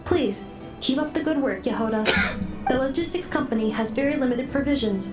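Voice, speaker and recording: A woman's voice: sits high, fairly quick, lightly acted. A woman voicing a flying female robot courier who speaks in a clipped, formal report style. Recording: game audio with reverb over music.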